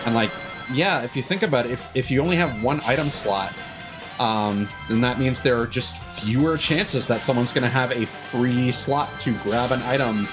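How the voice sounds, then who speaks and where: A man's voice: medium pitch, normal speed. A man doing casual, rambling and opinionated gameplay commentary as a live streamer. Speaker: video game live streamer. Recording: loud music behind the voice.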